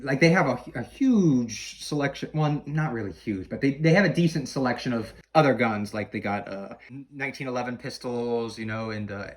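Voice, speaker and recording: A man with a mid-pitched voice speaks as a guest on a podcast. He reviews a game in casual conversation. His delivery is halting, with words repeated as he works through his explanations.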